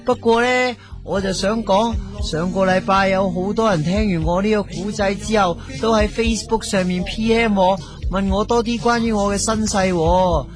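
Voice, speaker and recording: Chatty Cantonese radio host, fairly high voice, faint music bed. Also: male voice, steady tone, normal speed, few pauses. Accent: Hong Kong Cantonese. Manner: jokey, chatty, stammering gags. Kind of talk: comic radio drama narration.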